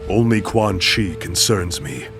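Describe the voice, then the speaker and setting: An actor playing a vengeful ninja clan master in a video game, speaking in a formal, solemn, menacing way. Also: male voice, low-pitched, expressive, unhurried. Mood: cold, resolute. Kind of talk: cinematic video game acting. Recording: loud music behind.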